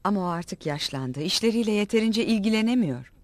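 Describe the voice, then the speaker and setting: An actress playing a proud, scheming noblewoman in an animated film, imperious and intense. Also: mid-range voice, a little fast, no echo, lightly acted.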